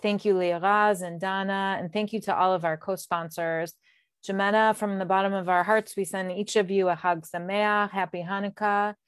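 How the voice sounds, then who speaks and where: A woman hosts an online event with closing remarks. Her voice is very clear and smooth, at a middle pitch, and the sound is dry and close. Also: fairly even intonation, normal speed, no echo. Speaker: host, executive director. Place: indoors on a video call. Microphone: earphone headset mic.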